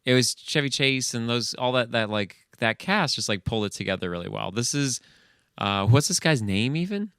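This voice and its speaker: Smooth-voiced man in casual banter. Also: medium pitch, lively intonation, normal speed, a bit bright, no room sound, studio quality. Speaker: podcast co-host. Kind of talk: casual chat, bantering commentary.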